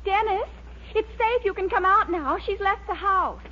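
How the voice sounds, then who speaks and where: A woman's voice: very high-pitched, moderate pace, pushed and bright. An actress playing an eager, scheming young girlfriend in a 1940s radio comedy, gushing and persuasive, with an American accent. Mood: insistent, scheming. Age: young adult. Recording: muffled old radio recording, faint hiss.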